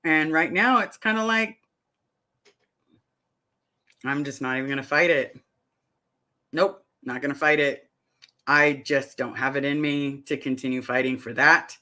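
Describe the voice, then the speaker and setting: A woman's voice: a bass voice, bright, forceful tone. A middle-aged woman chatting casually on a live stream, reading viewer comments aloud and rambling through her answers. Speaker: vlogger answering live chat.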